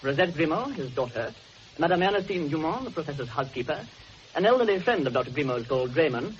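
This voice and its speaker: Brisk, precise, formal male secretary. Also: a little high, big pitch swings. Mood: reserved, precise. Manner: formal, careful, exact. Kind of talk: full-cast radio mystery drama acting. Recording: muffled noisy old radio recording.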